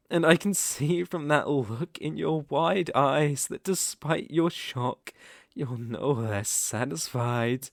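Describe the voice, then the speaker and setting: Guy doing a british vampire lord, slow n seductive, teasing, bossy, asmr roleplay, super dry close sound. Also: medium pitch, gravelly, no echo. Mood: seductive, amused. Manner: slow, teasing, commanding, with theatrical old-fashioned phrasing. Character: suave, seductive, possessive male vampire count. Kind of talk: intimate ASMR roleplay acting.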